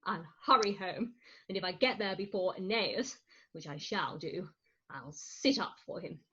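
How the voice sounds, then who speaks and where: A woman's voice: fairly low pitch, lively intonation, measured pace, bright, forceful tone, breathy edge, heavily acted. A woman playing a melodramatic, indignant mother and deceived wife, declaiming in a staged reading of a Victorian farce. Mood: outraged, scheming. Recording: muffled remote call audio.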